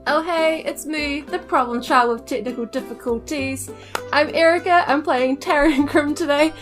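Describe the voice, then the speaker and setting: A woman's voice: high-pitched, normal speed, faint music behind. A woman chatting and joking casually as a podcast player. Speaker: podcast cast member, player.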